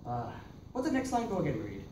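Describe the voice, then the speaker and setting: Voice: mid-range voice, wildly swooping pitch, fast talker. A performer doing a cocky young Irish lad. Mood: teasing. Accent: put-on Irish. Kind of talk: amateur play reading. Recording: distant roomy recording with background noise.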